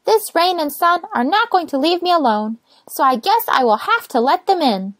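Woman voicing a high, grumpy little rosebud girl. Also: sing-song, normal speed, fairly bright tone, no room sound, exaggerated voice. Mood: resigned. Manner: indignant, emphatic, refusing. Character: small grumpy female rosebud who wants quiet. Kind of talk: children's storybook character voice.

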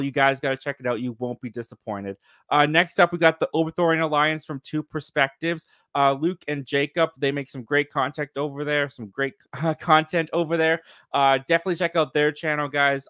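Young man, livestream host, slightly high voice, dry close boom mic, chatty and quick. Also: sharp tone, no echo. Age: 20s. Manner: chatty, quick and upbeat, with frequent fillers. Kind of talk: casual live chat. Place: home studio with shelves. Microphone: boom mic in front of face.

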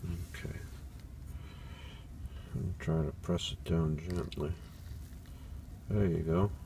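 A man in his forties speaks slowly in a deep, low voice. He chats casually and thinks aloud, with plenty of fillers and restarts. He records inside a small van on a camera set nearby, with a faint hum behind him. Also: fairly even intonation, creaky, warm tone. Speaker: van life vlogger. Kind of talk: casual how-to vlog.

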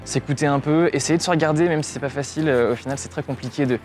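A man's voice: on the high side, fast talker, darkish tone. A young man, a law student, speaking in a street interview with frequent hesitations. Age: young adult. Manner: hesitant, many "euh" fillers. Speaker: law student, association president. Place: outdoors in front of a building. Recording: music bed under the voice.

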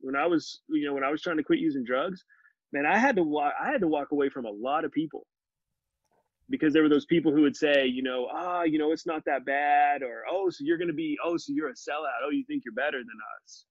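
Husky forties man on a video call. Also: on the high side, a little fast, dry recording. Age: 40s. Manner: earnest, animated, gestures while talking. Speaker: podcast guest. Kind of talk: casual conversational interview. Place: home living room with bookshelves. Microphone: video call, laptop mic. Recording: muffled dry video call audio.

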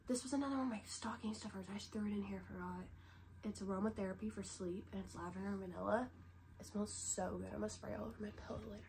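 A woman's voice: mid-range voice, fairly even intonation, quick pace, creaky, a little mellow. Stuffy young woman chatting. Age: young adult. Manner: chatty, rambling, lots of "like" fillers. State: stuffy head cold. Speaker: vlogger. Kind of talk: casual show-and-tell chat. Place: bedroom, sitting on the bed. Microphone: camera set low in front of her. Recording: noisy home recording.

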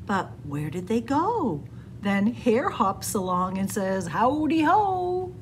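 A woman reading a picture book aloud in an animated, deliberate, sing-song storytelling style. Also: mid-range voice, a little hiss or hum. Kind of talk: expressive children's read-aloud storytelling. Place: indoors, room with bookshelves.

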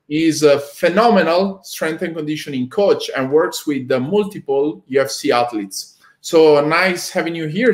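A young Italian man with an Italian accent, hosting an interview over a video call and asking measured questions. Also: fairly high pitch. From Italy. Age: young adult. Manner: measured, slow questions with many fillers. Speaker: host, performance nutritionist. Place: home room with bookshelves. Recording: echoey room on a video call.

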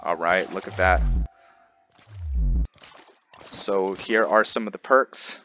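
A man giving casual gameplay commentary as host, thinking aloud in a halting way. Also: low voice, very noisy. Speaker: gaming video host.

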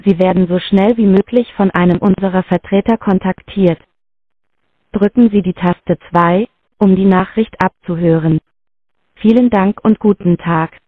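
An automated phone voice reading menu prompts in an even, formal way. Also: mid-range voice, normal speed, dry recording. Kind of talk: recorded phone announcement. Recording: dry tinny phone line.

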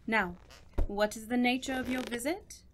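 A woman playing a grave, scholarly doctor of the supernatural in an audio drama, with a formal, deliberate and ominous manner. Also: fairly high pitch, steady tone, a little hiss or hum, natural voice. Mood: formal, measured.

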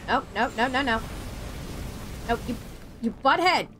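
A woman's voice: sits high, animated, measured pace, noisy background. A woman streaming a video game, ranting sarcastically and swearing at enemies as she plays. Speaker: gaming streamer. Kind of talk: ranting let's-play commentary.

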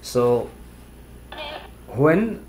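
A man's voice: medium pitch, very animated, crowd noise. A plainspoken man reviewing a gadget at home. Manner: halting, plainspoken, many fillers. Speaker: product reviewer. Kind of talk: casual product review. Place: living room at home, indoors.

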